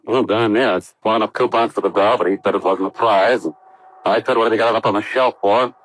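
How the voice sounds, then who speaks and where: An actor playing an earnest, troubled young American test pilot, hesitant and subdued in 1950s radio drama style. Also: male voice, lowish voice, fairly even intonation, quick pace, fairly bright tone. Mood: sheepish.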